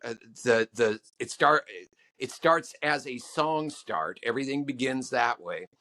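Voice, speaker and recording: A man being interviewed as a songwriting guest, talking in halting stops and restarts with many fillers. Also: pushed and bright. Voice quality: low voice. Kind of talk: podcast interview answers.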